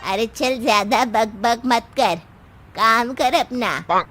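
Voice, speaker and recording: A woman voicing a bossy, teasing elderly mother-in-law in an animated Hindi story, boastful and fearless. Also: fairly high pitch, slow pace, faint music behind. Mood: scolding. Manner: bantering, boastful, scolding.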